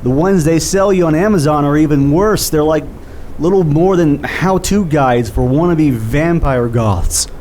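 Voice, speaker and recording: A man playing an excitable, argumentative skeptic in an audio drama, stammering and exasperated in an informal way. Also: fairly high pitch, a little slow, a little hiss or hum, natural voice. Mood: skeptical, incredulous.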